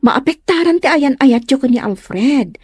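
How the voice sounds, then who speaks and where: A woman's voice: sits high, animated, measured pace, husky, dry recording, clean studio sound, heavily acted. A woman acting a chatty, teasing young friend and confidante in an Ilocano comedy drama. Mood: teasing, friendly.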